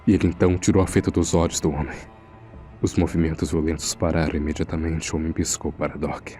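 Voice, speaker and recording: Very deep-voiced man acting out uneasy horror narration. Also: flat delivery, quick pace, dark tone, faint music behind. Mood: tense. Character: uneasy male morgue assistant recounting a frightening secret. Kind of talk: scripted first-person horror narration.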